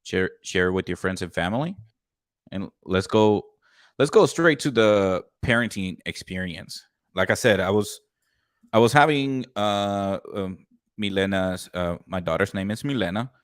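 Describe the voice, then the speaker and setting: Casting: young male podcast host, very low voice, dry sound, upbeat and swears a lot. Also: measured pace, no room sound. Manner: loud, upbeat, swears freely. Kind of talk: casual chat.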